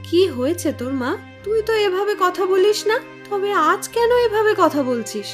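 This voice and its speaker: A woman's voice: very high voice, lively intonation, normal speed, soft background music. A woman playing a poor village mother in a cartoon fairy tale, weary and tender, scolding out of worry in a plaintive way. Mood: tender, sad. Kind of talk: animated fairy tale dialogue.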